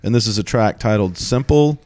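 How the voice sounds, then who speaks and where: A man's voice: fairly low pitch, fairly quick, darkish tone. A man hosting a radio show, chatting casually with a band as he interviews them. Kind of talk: casual chat.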